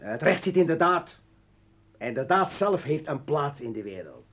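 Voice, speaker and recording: An actor playing a bitter, militant revolutionary and former journalist in a radio play, ranting and taunting in halting bursts. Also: male voice, a little high, sing-song, a bit bright, full character voice. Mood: bitter, insistent. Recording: dull vintage radio drama recording.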